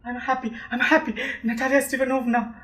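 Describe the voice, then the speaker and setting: A bright, high-pitched nervous suitor acted by a young man with an Indian accent, in a roomy student audio play. He is a quarrelsome hypochondriac who stammers and repeats himself melodramatically. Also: level tone, fairly quick. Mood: dazed. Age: young adult. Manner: stammering, breathless, melodramatic repetition. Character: hypochondriac, argumentative male suitor, nervous and excitable. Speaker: student actor. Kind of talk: amateur audio drama acting. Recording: roomy, echoing amateur recording.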